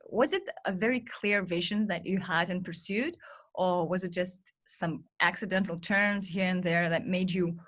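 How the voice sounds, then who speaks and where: A woman hosting an interview, asking curious, friendly questions. Her pitch is mid-range for a woman. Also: a bit bright.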